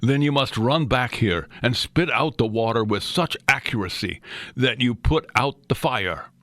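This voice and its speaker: A man's voice: mid-range voice, a little slow, fairly bright tone, no echo, clean studio sound, close to an everyday voice. A man voicing a bossy boy club leader who plays a solemn drill master, with a Scottish accent and a mock-pompous, formal way of instructing.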